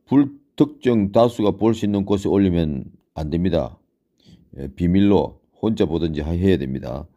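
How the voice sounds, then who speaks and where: Older man, lowish pitch, very soft dark tone, deliberate instructor, repetitive step-by-step explaining. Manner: deliberate, repeats phrases for beginners. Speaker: smartphone tutorial instructor. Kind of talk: step-by-step screen tutorial, spoken off the cuff.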